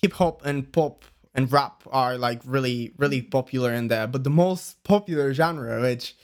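A man's voice: medium pitch, normal speed. A young man in his early twenties from Bulgaria, whose first language is Bulgarian, chatting casually as a guest in a loose, rambling way and laughing easily. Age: early 20s. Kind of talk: casual chat.

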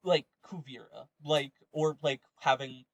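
A man chats casually as a podcast co-host about tabletop games. His voice is on the higher side and recorded very dry. He works out his opinions aloud in halting phrases. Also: fairly even intonation, no echo. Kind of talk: casual tabletop gaming chat. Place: online voice call, dry sound.

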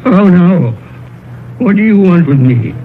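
An actor playing a crotchety, stubborn, easily frightened old miser in a vintage radio drama, with a halting, blustering delivery. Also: male voice, high-pitched, animated, a little hiss or hum. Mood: alarmed. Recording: muffled old radio broadcast, music behind.

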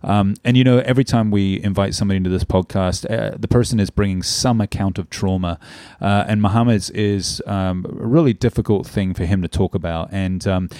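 A middle-aged man hosting a podcast, speaking earnestly and filling pauses with "um" and "uh". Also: fairly low pitch, fairly even intonation, warm tone, no room sound, studio quality. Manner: earnest, heavy with "um" and "uh". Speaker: podcast host. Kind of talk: podcast intro and interview.

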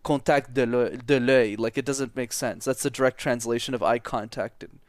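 A man's voice: mid-range voice, steady tone, normal speed, no echo, clean studio sound. A young man from Montreal, a public speaking coach, talking energetically and directly in an interview, often repeating words for emphasis. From Montreal, Canada. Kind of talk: casual interview talk.

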